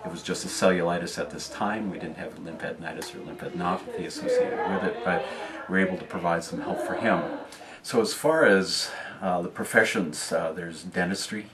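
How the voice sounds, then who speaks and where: A man on clinic medical staff talking informally about the work, measured, using clinical terms and pausing on fillers. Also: low-pitched. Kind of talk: informal recruiting talk.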